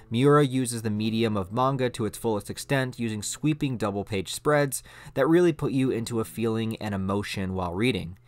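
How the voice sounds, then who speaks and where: A man hosting a video essay, explaining things in an emphatic, conversational way with scripted commentary. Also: on the low side. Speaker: video host reviewing anime.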